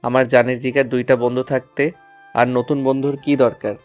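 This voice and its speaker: Man playing an even-toned young schoolboy. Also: mid-range voice, brisk, faint music behind, lightly acted. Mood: casual. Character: affectionate, eager schoolboy, a doting older brother. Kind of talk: audio story acting.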